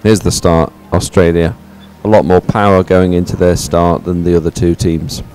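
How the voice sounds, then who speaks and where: A man giving live sports commentary as presenter, speaking in a halting, hesitant way. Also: deep voice, little pitch movement, a little mellow, slight background noise.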